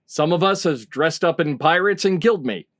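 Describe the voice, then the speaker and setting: Lively woman hosting, dry close recording. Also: deep voice, moderate pace, no room sound. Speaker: game show host. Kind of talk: reading game rules, casual hosting.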